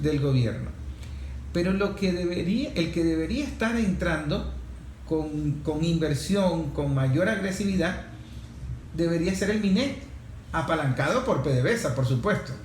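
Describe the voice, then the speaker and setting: A Venezuelan man with a fairly high voice presents political commentary as a talk show host. He builds his points phrase by phrase, repeating for emphasis. The room echoes, with faint noise behind him. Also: a little mellow. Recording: roomy echo, faint background noise.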